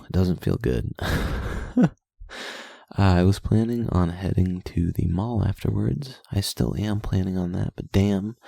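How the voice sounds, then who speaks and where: A man's voice: deep voice, gravelly, mellow, breathy edge, dry recording. A young man casually reading his journal aloud late at night, rambling, swearing and putting himself down. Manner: profane, self-deprecating, rambling.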